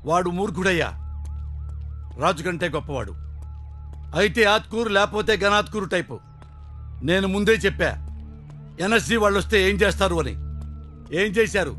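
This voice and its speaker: A man's voice: high-pitched, expressive, moderate pace, long pauses, a bit bright. An actor playing a blunt, wry man in film dialogue, speaking emphatically in short rhetorical phrases. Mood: wry, emphatic. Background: faint film music.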